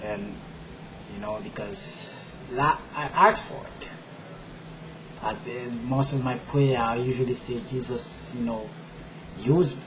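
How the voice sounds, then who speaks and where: A man with a Haitian accent gives a personal testimony to camera, his talk full of "you know" and restarts. His pitch sits in the middle of the range. The sound is muffled and lo-fi, with a faint hiss of noise behind him. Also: slow pace, long pauses. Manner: rambling, many "you know" fillers, quiet at first and growing loud and emphatic. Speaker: video blogger.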